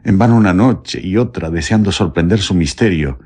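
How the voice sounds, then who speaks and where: An actor with a Castilian accent playing a terrified, guilt-ridden prison warden confessing haltingly in a radio drama. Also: male voice, deep voice, expressive, a little mellow. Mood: confessing, uneasy. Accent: Castilian Spanish. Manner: halting, trembling, pleading. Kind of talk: Spanish radio drama acting.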